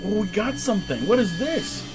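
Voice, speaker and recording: A man giving excitable, slangy let's-play commentary, exclaiming often and reading game text aloud, with game music behind him. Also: sits high, normal speed. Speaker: gaming video host.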